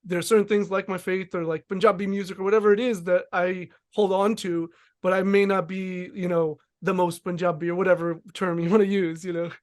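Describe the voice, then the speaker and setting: A man's voice: sits high, little pitch movement, brisk, few pauses, darkish tone. A man hosting a podcast, asking questions in a thoughtful, casual way with many fillers and hedges. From United States. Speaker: podcast host. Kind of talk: casual interview chat.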